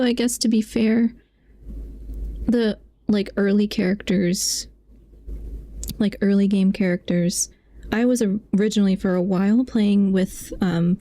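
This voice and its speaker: A woman's voice: medium pitch, mellow. A woman chatting casually while livestreaming a game, sometimes reading dialogue aloud. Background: faint game music.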